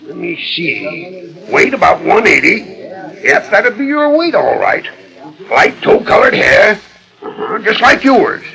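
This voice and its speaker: A man's voice: high voice, sing-song, slow pace, creaky, pushed and bright, exaggerated voice, poor audio. A man playing a bossy, hot-headed old-time sheriff with an American accent in a 1940s radio western, on an old disc recording. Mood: blustering, accusing. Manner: bossy, folksy, barking questions.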